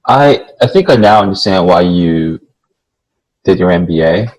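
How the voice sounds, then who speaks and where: A man's voice: low voice, expressive, moderate pace, darkish tone, rough recording. A man interviewing someone casually, with informal and somewhat hesitant phrasing.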